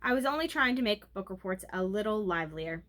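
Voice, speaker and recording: A woman's voice: medium pitch, lively intonation, fairly quick, a bit bright, lightly acted. A woman voicing a kindly, reasonable female schoolteacher in a storybook reading. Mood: kindly, brisk.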